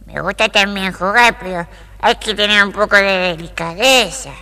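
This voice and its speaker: Bright-voiced plaintive little duckling in reverb. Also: female voice, mid-range voice, moderate pace. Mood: put-upon, resigned. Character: young ugly duckling, plaintive and put-upon. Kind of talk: audio storybook acting. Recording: long reverberant hall sound.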